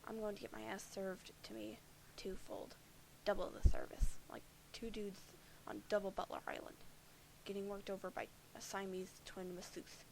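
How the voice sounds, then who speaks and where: A woman's voice: medium pitch, flat delivery, moderate pace, creaky, darkish tone, in a whisper, natural voice, rough recording. A woman voicing a bitter, foul-mouthed alien troll boy who sees himself as a prophet of doom, sardonic and defensive.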